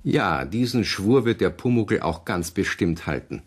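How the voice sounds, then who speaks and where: A man playing the narrator of a children's radio play, telling the story in a measured, kindly way. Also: sits low, lively intonation, husky, exaggerated voice. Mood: gently amused.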